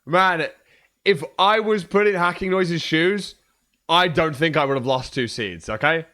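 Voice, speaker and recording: A young man with a hoarse voice, chatting casually and joking on a livestream. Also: on the high side, measured pace, pushed and bright. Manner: joking, trash-talking, swears a lot. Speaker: streamer.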